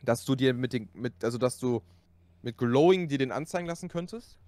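A man's voice: medium pitch, lively intonation, a little fast, mellow, dry recording. A man chatting with a friend during a game, offering helpful suggestions. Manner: helpful, suggesting ideas, laughs easily. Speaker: fellow player. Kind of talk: casual chat over voice call.

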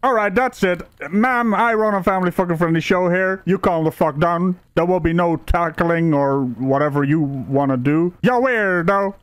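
A man's voice: high voice, moderate pace, few pauses, smooth. A man doing comedic reaction commentary in a sarcastic, mock-outraged manner with some swearing.

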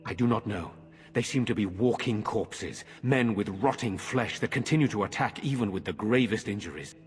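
Husky, grave knightly nobleman. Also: fairly low pitch, animated. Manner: measured, formal. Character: grave, practical nobleman knight leading a village defense. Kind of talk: fantasy video game acting. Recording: long hall reverb.

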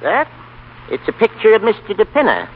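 High-pitched, wry old grandpa. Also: male voice, huge pitch swings, fairly quick, husky, exaggerated voice. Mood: dry, amused. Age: older. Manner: dry, folksy humor. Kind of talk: 1930s radio acting. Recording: muffled, hissy 1930s radio broadcast.

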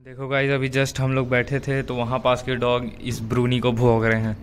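A man's voice: mid-range voice, completely flat delivery, normal speed. A teenage boy vlogging outdoors on a phone held at arm's length, chatting casually to his viewers as he walks around. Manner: chatty, addressing viewers as guys. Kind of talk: casual vlog narration. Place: outdoors, streets and a stadium. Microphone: phone camera at arm's length. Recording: noisy outdoor phone recording.

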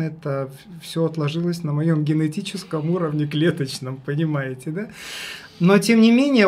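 A man with a high tenor voice, talking casually as an interview guest, haltingly and repeating his phrases for emphasis. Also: creaky, darkish tone. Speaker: guest, singer and vocal teacher. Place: small radio studio. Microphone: studio condenser microphone.

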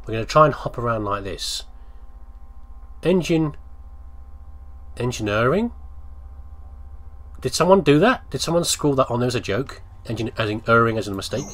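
A man's voice: mid-range voice, animated, normal speed, pauses often, a bit bright. A man giving casual gameplay commentary, rambling and thinking aloud as he talks to himself. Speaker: gamer commentating on playthrough.

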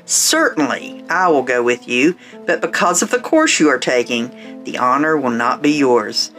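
A woman's voice: extremely low pitch, animated, normal speed, fairly bright tone, soft background music. A woman playing a commanding older prophetess in a biblical radio drama.

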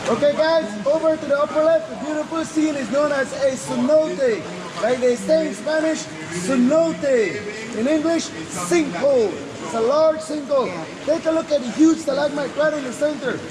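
A playful man working as a cave tour guide, teasing guests in repetitive, joking patter. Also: crowd noise. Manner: playful, teasing, repeats himself, often addresses people as Mama. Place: inside a dark river cave on the water. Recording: noisy echoing cave, rushing water rumble.

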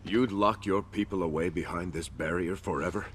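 An actor playing an earnest, righteous soldier hero in a video game, with a husky voice. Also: male voice, deep voice, fairly even intonation, normal speed, a little hiss or hum, lightly acted. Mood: stern, indignant. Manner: earnest, challenging. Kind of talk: video game cutscene acting.

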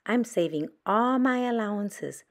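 A woman's voice: medium pitch, expressive, measured pace, voice pushed up. A woman voicing an earnest, trusting young girl badger in a picture book, speaking plainly. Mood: earnest, wistful. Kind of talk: children's picture book read-aloud.

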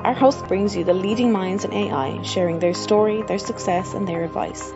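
A woman's voice: medium pitch, moderate pace, muffled recording. A woman announcer reading a scripted promotional podcast intro. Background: music.

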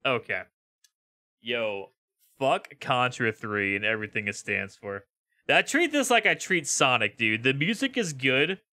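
A man's voice: medium pitch, lively intonation, measured pace, pushed and bright. Swearing young male game streamer. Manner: frustrated, swearing, laid-back. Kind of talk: casual live gameplay commentary.

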